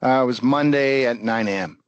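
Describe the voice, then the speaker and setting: Man, informal demo presenter, mid-pitched voice, dry close sound. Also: brisk, clean tone, no room sound, dull audio. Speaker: presenter giving a live product demo.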